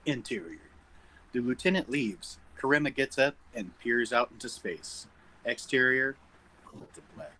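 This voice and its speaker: A man with a very bright, forward voice reads screenplay stage directions at a table read. He works through scene headings and action as scripted narration. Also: medium pitch, animated, unhurried, a little hiss or hum, rough recording.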